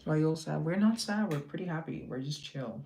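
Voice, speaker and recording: A man's voice: on the high side, lively intonation, brisk, gravelly, noisy background. A man hosting a casual social media live, reading out viewer comments and answering them in a teasing, joking, chatty way over a phone mic. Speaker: live-stream host.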